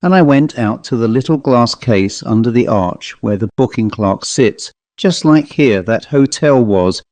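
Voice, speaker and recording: A man's voice: mid-range voice, animated, a little slow, darkish tone, no room sound. Guy doing a chatty dapper salesman spinning a ghost yarn, friendly, dry audiobook sound. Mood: uneasy, confiding. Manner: genial, colloquial, anecdotal. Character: dapper commercial traveller, chatty male raconteur of ghost stories. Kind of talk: dramatised audiobook storytelling.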